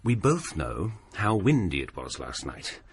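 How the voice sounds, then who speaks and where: An actor playing a fussy, self-assured young scholar in a radio drama, speaking in a precise, reproving way. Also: male voice, deep voice, big pitch swings, measured pace, a little hiss or hum, heavily acted. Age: young adult.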